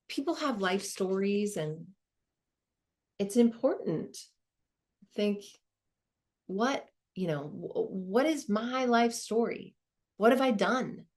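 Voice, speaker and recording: A woman playing a reflective, earnest professor in her sixties, in a halting, conversational stage monologue. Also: mid-range voice, expressive, darkish tone. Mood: thoughtful. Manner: halting, thoughtful, colloquial. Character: reflective, earnest 64-year-old woman, professor nearing retirement. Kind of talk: dramatized first-person monologue, conversational.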